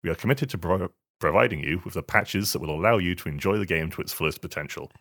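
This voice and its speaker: A man's voice: deep voice, quick pace, a bit bright, dry recording, studio quality. A man talking casually on a podcast, sometimes reading a statement aloud. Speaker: podcast panellist.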